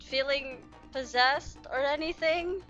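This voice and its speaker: A woman's voice: high-pitched, slow pace, fairly bright tone, lo-fi sound, soft background music. A woman giving casual, reactive gameplay commentary, expressively talking back to the game's characters. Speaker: gaming streamer.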